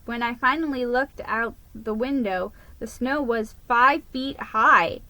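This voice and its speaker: Woman doing a dramatic kids story reading, real slow n theatrical, bright voice, close dry sound. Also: on the high side, no echo, low-quality recording. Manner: deliberate, theatrical. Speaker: storybook reader.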